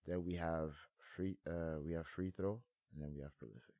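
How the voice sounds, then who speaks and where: Young male rapper guest, very low voice, dry close sound, loose slangy interview talk. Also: fairly even intonation, normal speed, mellow, no room sound. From France, moved to Montreal, Canada at eight. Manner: slangy, often checks in with "you feel me". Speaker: guest, hip hop artist. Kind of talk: casual chat, interview answers.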